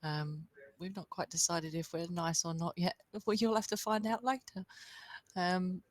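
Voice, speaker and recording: A woman's voice: lowish voice, fairly even intonation, normal speed. A woman playing a tabletop game who introduces her character cheerfully and playfully. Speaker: player.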